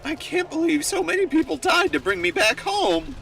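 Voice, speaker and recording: A man puts on an overwrought young snowman soldier for an audio drama. His voice is high, bright and very animated, with faint music behind. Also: moderate pace, vocal fry, full character voice. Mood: distraught. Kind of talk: audio drama war acting.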